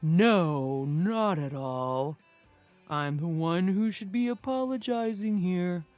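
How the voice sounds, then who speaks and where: A woman's voice: on the low side, expressive, slow, a little mellow, dry recording, faint music behind. A woman narrator voicing a male company vice president, apologetic yet commanding, in a story.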